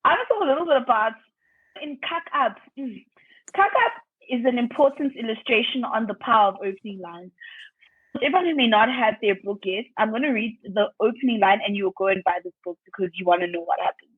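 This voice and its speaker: A woman's voice: a little high, a little fast, slightly rough, dry recording. Woman co-host on a tinny phone line. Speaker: podcast co-host. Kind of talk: remote interview chat.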